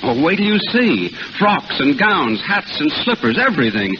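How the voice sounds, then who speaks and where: An actor playing a suave, persuasive young gentleman full of enthusiasm in 1930s radio drama. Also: on the high side, sing-song, normal speed. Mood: eager, coaxing. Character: suave, eager, smooth-talking young gentleman benefactor. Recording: muffled noisy 1930s radio recording.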